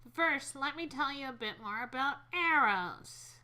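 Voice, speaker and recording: A woman's voice: high voice, animated, unhurried, pushed and bright. A young woman reading a story game aloud with a friend, playful and giggly between lines.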